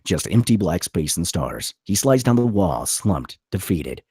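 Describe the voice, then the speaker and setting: A man's voice: low voice, sing-song, measured pace, mellow, slightly rough, no room sound, clean studio sound. A man reading scene directions from a screenplay as scripted narration. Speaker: stage directions reader.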